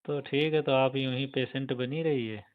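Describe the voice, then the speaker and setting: A man acts out a local doctor in a romantic call drama. His voice is smooth and clear at an average male pitch. He talks colloquially and reassuringly, with a sly, suggestive edge. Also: steady tone, normal speed, phone-quality sound, natural voice. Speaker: doctor advising a patient. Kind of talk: staged romantic phone-call roleplay.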